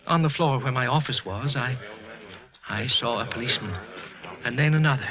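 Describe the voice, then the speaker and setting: A man's voice: medium pitch, lightly acted. Man acting tense, guilt-ridden murderer in old radio. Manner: tense, halting, repeating himself. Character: guilt-ridden, frightened office clerk narrating his murder. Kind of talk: 1940s radio suspense acting, first-person narration. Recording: muffled old radio recording.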